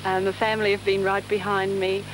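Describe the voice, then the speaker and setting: Dutch-born woman musing slowly, pretty monotone, hiss all over old tv audio. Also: mid-range voice. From Netherlands. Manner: reflective, hesitant with fillers. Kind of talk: documentary interview. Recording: hissy 1970s TV documentary audio.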